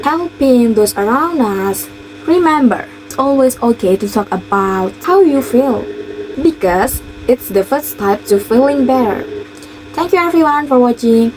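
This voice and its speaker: Young Indonesian-accented woman, higher voice. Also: animated, a little slow, a little mellow, poor audio. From East Java, Indonesia. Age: young adult. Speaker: university student presenter. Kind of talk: rehearsed presentation and simple storytelling. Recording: clear background noise.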